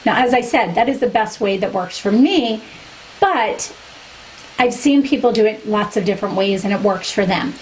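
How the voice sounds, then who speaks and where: A woman's voice: mid-range voice, expressive, moderate pace, a little hiss or hum, rough recording. A woman reviewing a makeup product to camera for her vlog in a chatty, candid way, losing her voice. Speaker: beauty vlogger.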